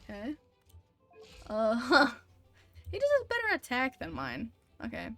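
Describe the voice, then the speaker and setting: A woman live-streaming a video game, commenting casually and excitably with mild swearing. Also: high voice, slow pace, vocal fry, faint music behind.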